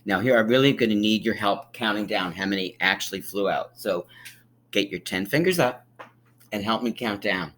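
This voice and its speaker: A man reading a picture book aloud to young children like a teacher, with emphatic pauses and encouraging, friendly asides. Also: on the low side, a bit bright, a little hiss or hum. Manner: encouraging, inviting listeners to count along; drawn-out, emphatic storytelling delivery with dramatic pauses.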